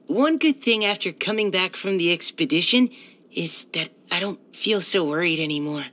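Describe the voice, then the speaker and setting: Bright, shy young male knight. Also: high voice, heavily acted. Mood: relieved, sincere. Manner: hesitant, soft-spoken politeness, eager to please. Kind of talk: scripted video game character lines.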